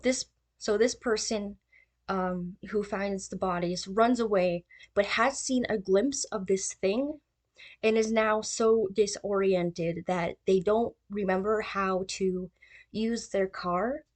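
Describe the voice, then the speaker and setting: Slow-paced woman explaining a theory. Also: mid-range voice. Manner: thinking aloud, tentative, informal. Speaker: video essayist. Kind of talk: casual theory commentary.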